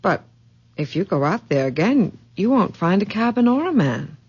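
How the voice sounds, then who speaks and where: An actress playing a gently insistent grown daughter in a radio ghost mystery, with a husky voice and an emphatic, dramatic delivery. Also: female voice, medium pitch, very animated, normal speed. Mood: grave, insistent. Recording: muffled vintage radio drama recording.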